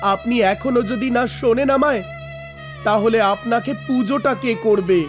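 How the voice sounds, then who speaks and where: A cartoon moral-story character, acted by a man: a poor, devout rickshaw driver with an aggrieved, pleading way of talking. He speaks earnestly and plaintively. Music plays clearly behind his voice. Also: very high voice, measured pace. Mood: anguished prayer. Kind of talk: animated moral story dialogue. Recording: music under the voice.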